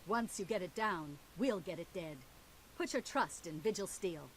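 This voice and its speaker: A woman's voice: lowish voice, lively intonation, moderate pace, a little hiss or hum, low-quality recording. An actress playing a bold female soldier in a video game, speaking in a brash, confident way.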